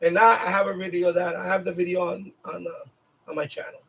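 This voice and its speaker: A man's voice: high voice, level tone, brisk, vocal fry, a bit bright, slightly rough, low-quality recording. A man hosting a casual live chat, rambling and often repeating himself as he talks to viewers. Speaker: livestream host.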